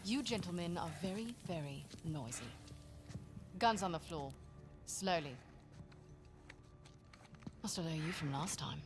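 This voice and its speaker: A woman's voice: fairly low pitch, unhurried, pauses often, music in the background, close to an everyday voice. An actress playing a tough, commanding female mercenary leader in a video game cutscene, blunt and clipped.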